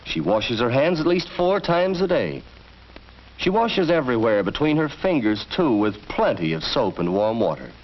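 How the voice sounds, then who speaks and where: American man, lively 1940s educational film narration. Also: mid-range voice, normal speed. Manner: instructive, storytelling delivery. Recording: dull vintage film soundtrack, faint noise.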